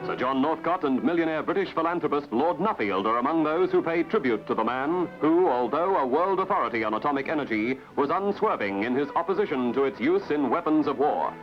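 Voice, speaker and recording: Man, newsreel narrator, slightly high voice, formal measured announcing, dull archival sound. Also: lively intonation. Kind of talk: scripted newsreel documentary narration. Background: music.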